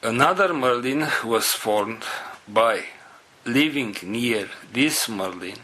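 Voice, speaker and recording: A man whose first language is Romanian, leading a spiritual group and giving an unscripted talk in slow, halting fragments. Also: mid-range voice, bright, forceful tone, husky.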